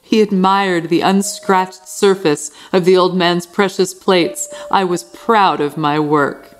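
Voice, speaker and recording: An actress playing a deranged, proud, fastidious housekeeper in a gothic radio drama, theatrical and insistent. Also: female voice, on the low side, fairly even intonation. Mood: proud, confident. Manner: theatrical, insistent, unravelling into agitation. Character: deranged, proud, fastidious housekeeper who has killed an old man. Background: music under the voice.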